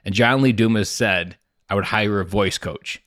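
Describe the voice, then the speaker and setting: Low-voiced man asking curious questions. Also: moderate pace, a bit bright, no echo, studio quality. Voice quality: baritone voice. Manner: curious, asks questions, rambling tangents. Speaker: podcast co-host. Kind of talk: casual podcast chat.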